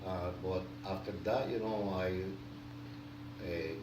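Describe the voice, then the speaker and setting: A man in his sixties with a Puerto Rican accent tells his life story in an interview, halting, with many fillers and restarts. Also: low-pitched, fairly even intonation. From Puerto Rico. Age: 60s. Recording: echoey room, distant mic, noisy.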